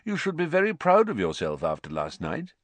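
A man voicing a wise, gentle elderly wizard headmaster in an audiobook, quiet, measured and reflective. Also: a little high, huge pitch swings, no room sound, pitched above the performer's own voice, exaggerated voice. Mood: gently consoling. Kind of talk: audiobook character voice.

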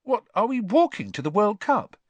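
A man's voice: high voice, big pitch swings, moderate pace, no room sound, voice pushed up. A man voicing a curious, easily surprised young wizard boy in an audiobook. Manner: questioning, surprised.

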